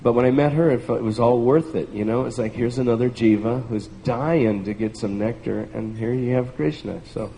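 A man's voice: mid-range voice, moderate pace, creaky, hardly any pauses, dark tone. A man in a group telling an enthusiastic personal story and laughing between phrases. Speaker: group participant. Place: large room with a group. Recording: distant echoey hall, faint hiss.

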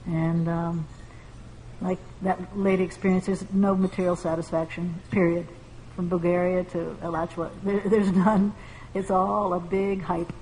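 A woman leading a devotional group discussion, speaking earnestly and haltingly with many fillers, in a large room with a group. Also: fairly low pitch, little pitch movement, darkish tone. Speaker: class discussion leader. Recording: distant echoey hall, faint hiss.

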